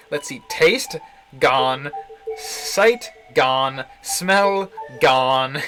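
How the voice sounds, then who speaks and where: A man's voice: on the high side, a bit bright, poor audio. A man streaming a visual novel with casual, amused, wry commentary over the game's music. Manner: amused, wry, frequently chuckling. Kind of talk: casual live game commentary. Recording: music playing behind the voice. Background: visual novel music and Japanese voice acting.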